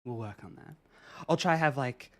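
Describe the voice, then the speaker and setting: A game streamer talking casually through a live stream, chatting with viewers and reading chat aloud in a soft, soothing way. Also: medium pitch, animated, normal speed. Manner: chatty, reads and answers chat.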